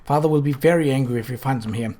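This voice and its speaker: A man voicing a young woman, the earnest daughter of Death, in a storybook reading, talking a little higher and hurried. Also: warm tone, natural voice. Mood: anxious.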